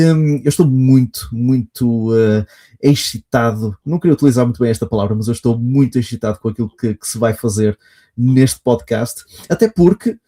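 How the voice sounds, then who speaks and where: Dark-voiced Portuguese man hosting a podcast. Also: mid-range voice. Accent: European Portuguese. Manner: eager, effusive, hesitant phrasing. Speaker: podcast host. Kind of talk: podcast hosting, casual chat.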